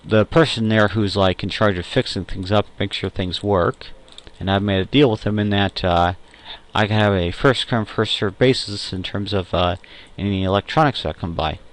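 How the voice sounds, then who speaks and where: A young man with a fairly low voice records a casual solo audio log. He speaks off the cuff in a halting, hesitant way, often pausing to find a word or rephrase what he has just said. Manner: hesitant, halting, self-correcting, with frequent pauses. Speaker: amateur audio log host. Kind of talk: casual unscripted tech and personal monologue.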